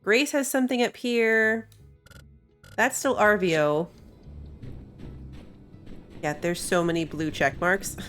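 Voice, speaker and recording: A woman's voice: mid-range voice, fairly even intonation, takes it slowly, pauses often, fairly bright tone. A woman hosting a gaming video with casual, chatty commentary, thinking aloud as she plays. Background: faint game music.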